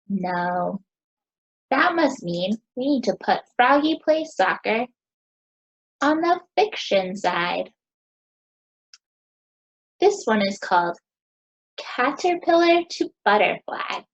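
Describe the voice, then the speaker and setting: An American woman in her thirties teaching young children, asking questions in a sing-song, encouraging way with deliberate pauses. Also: on the high side, breathy edge, muffled recording. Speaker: teacher hosting a children's story time.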